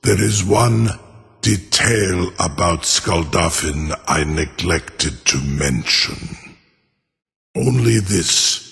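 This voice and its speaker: Deep, gravelly, ponderous ancient dragon. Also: male voice, fairly bright tone, full character voice. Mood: sly bargaining. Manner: slow, pompous, formal, savoring words. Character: ancient proud male dragon, cunning and grandiose. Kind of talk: fantasy video game acting. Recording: long cavernous reverb.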